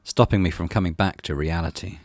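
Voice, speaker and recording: A man's voice: low voice, a little fast, darkish tone, no room sound. A man narrating a visual novel aloud as a gaming channel host, in a dramatic storytelling style. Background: music.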